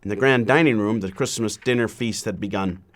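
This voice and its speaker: Man reading a storybook aloud, measured. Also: lowish voice. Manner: measured, deliberate phrasing with frequent pauses.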